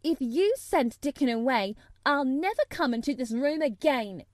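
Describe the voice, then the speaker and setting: A woman's voice: sits high, animated, moderate pace, warm tone, no echo, clean studio sound, pitched above the performer's own voice. A woman voicing a sour, obstinate young girl who retorts fiercely, as in a storybook reading. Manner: defiant, retorting. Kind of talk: audiobook character voice.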